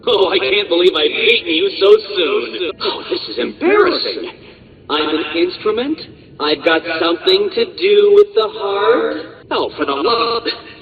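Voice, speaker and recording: A mocking, self-satisfied male mastermind from a game, speaking with theatrical condescension. His lively, slightly high voice echoes in a muffled recording with music behind. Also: recorded from a distance. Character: taunting, gleeful male villain holding hostages, self-styled genius. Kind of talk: video game villain voice acting.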